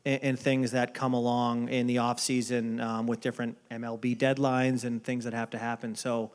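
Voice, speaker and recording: Boston guy in his 30s, smooth clear voice, easygoing interview answers full of ums. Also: mid-range voice, normal speed. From Boston area. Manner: relaxed, many ums and restarts. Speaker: baseball front office executive. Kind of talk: casual interview at a dinner event.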